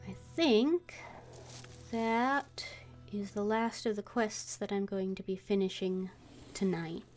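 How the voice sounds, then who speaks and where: A woman game streamer chatting casually on a livestream in a hesitant, halting way. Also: medium pitch, darkish tone, soft background music.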